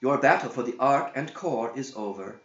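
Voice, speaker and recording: A man's voice: mid-range voice, moderate pace, fairly bright tone, close to an everyday voice. A man voicing a formal, solemn automaton gatekeeper in a storybook epic, stately and ceremonious. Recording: dull roomy echoing home recording.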